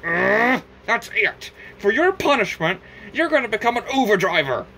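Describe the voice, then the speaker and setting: A man's voice: sits high, big pitch swings, normal speed, gravelly, pushed and bright, slight background noise, pitched above the performer's own voice, full character voice. A man voicing a stern old kettle grandfather who scolds in a raised, exasperated voice. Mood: scolding.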